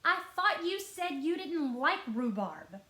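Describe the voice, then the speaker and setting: A woman's voice: high voice, very animated, slow, pushed and bright, voice pushed up, full character voice. A woman voicing a stubborn, competitive little sister in a storybook, insistent and indignant. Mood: stubborn challenge. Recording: roomy, echoing indoor sound.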